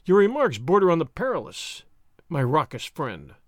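A man's voice: on the high side, big pitch swings, moderate pace, dry recording, clean studio sound. A man voicing a proud young nobleman with a thin voice, polite but cool and haughty.

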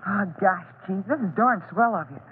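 An earnest, wholesome schoolboy in a vintage radio adventure. His voice is high and husky, with a boyish gee-whiz manner. It sounds like a thin, tinny old broadcast. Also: normal speed. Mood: excited, grateful. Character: eager, wholesome schoolboy junior firefighter. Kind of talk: old-time radio drama acting.

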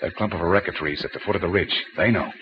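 An actor playing a decisive, commanding leader of a jungle guerrilla band, in 1940s radio adventure style. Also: male voice, sits low, very fast, natural voice. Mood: hushed, watchful. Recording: muffled, hissy old radio broadcast.

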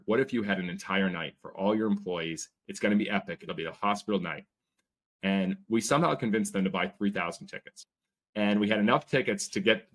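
A man talking as an interview guest, telling stories with energy and growing excited. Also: on the low side. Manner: energetic, animated storytelling. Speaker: guest, sports team owner. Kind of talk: casual interview talk.

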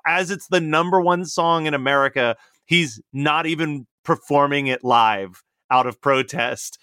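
A man's voice: on the high side, unhurried, pushed and bright, clear, resonant tone, dry recording, clean studio sound. A man co-hosting a casual podcast, relaying researched facts about a song and its artist. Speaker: podcast co-host. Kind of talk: casual chat.

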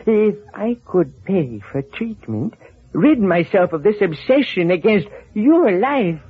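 An actor playing a meek, deluded little man in an old-time radio crime drama, pleading and overwrought in a halting way. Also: high-pitched, sing-song, breathy edge, full character voice. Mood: anguished, creepy confession. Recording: muffled vintage radio recording.

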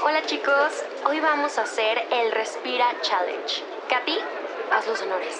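A woman's voice: on the high side, lively intonation, measured pace, rough recording. An actress playing a pushy, taunting, bossy teenage party girl in a scripted audio drama. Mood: bossy, excited. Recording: loud music behind the voice.

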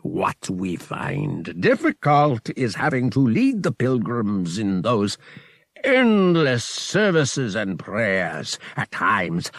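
Alien high priest, audiobook, performed by a man: pompous, self-satisfied, slow, pitch swooping upward. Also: creaky, no room sound, studio quality, exaggerated voice. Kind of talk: audiobook character dialogue.

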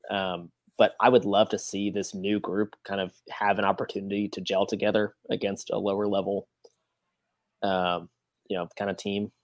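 A man's voice: fairly low pitch, little pitch movement, moderate pace, dry recording. A man co-hosting a soccer fan podcast, giving his views in a casual chat.

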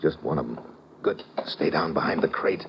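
A man's voice: extremely low pitch, expressive, normal speed, lo-fi sound. An actor playing a tough, wisecracking American man on an assignment, in old-time radio adventure style with slangy delivery. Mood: tense, hushed. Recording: noisy vintage radio recording.

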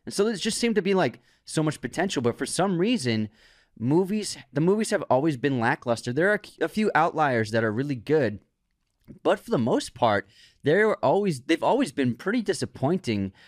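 A man's voice: a little high, very animated, moderate pace, dry recording. Man chatting casually, dry close sound. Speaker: podcast co-host. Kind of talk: casual conversational discussion.

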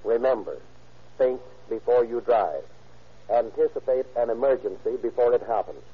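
A man's voice: medium pitch, moderate pace, husky, natural voice. A man playing a police chief, making a solemn, earnest appeal in a 1940s radio address. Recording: muffled old radio.

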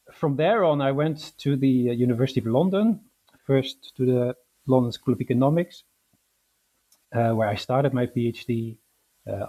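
A man from the Netherlands talks about his academic path in an interview. He pauses often and fills gaps with "uh", and he restarts his sentences. His voice is mellow and relaxed. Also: medium pitch, poor audio. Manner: hesitant, many fillers and restarts. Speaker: historian guest.

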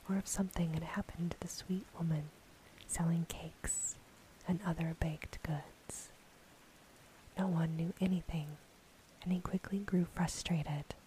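A woman reading a story in a soft, breathy ASMR whisper, with a gentle, unhurried delivery. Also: on the low side, a little hiss or hum, rough recording. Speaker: bedtime story narrator.

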